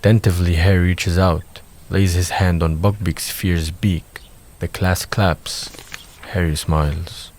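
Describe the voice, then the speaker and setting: A low-pitched man reads scripted narration for an audio drama. His delivery is measured and descriptive, setting out each scene in the present tense. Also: fairly even intonation, darkish tone.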